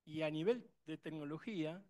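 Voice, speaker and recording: A man's voice: fairly high pitch, moderate pace, a little mellow. Emphatic Argentine man, rambling. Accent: Argentine Spanish. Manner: emphatic, rambling, many restarts and fillers. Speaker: conference attendee asking a question. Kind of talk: impassioned audience remark.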